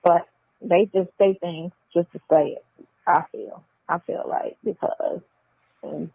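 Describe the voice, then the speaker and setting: A woman's voice: fairly low pitch, steady tone, slow pace, gravelly, breathy edge, dry recording. A woman chatting casually and bluntly on a phone call, repeating phrases for emphasis. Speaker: phone caller. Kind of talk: casual chat. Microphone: telephone. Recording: tinny, band-limited jail phone line.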